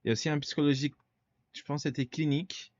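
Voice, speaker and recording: A man's voice: a little high, fairly even intonation, quick pace, darkish tone, no room sound, dull audio. A man from Brazil with a Brazilian Portuguese accent, hosting a casual interview in French, which is not his first language, and sometimes searching for words. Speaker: podcast host.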